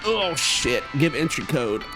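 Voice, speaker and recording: A man gives casual gameplay commentary in a slangy, animated style. His medium-pitched voice moves around a lot, with faint music in the background. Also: measured pace. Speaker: gaming video creator.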